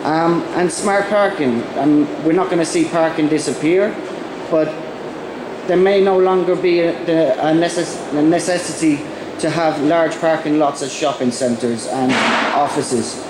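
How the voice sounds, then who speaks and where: Brief: Irish man with a cold presenting at a conference, slightly high voice, roomy hall with noise behind. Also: fairly even intonation. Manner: halting, many ums and restarts. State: has a cold. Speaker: conference speaker. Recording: echoey hall with background noise.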